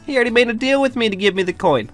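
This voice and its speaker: A man's voice: extremely high pitch, animated, rapid-fire, soft background music. A man playing a demanding, stubborn leader of a displaced people in an improvised game roleplay, blunt and argumentative.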